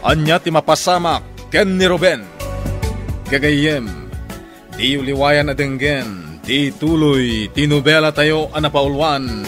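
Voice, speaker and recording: A man with a Filipino accent making a dramatic scripted radio drama announcement with drawn-out, emphatic phrasing. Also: on the high side. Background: loud theme music.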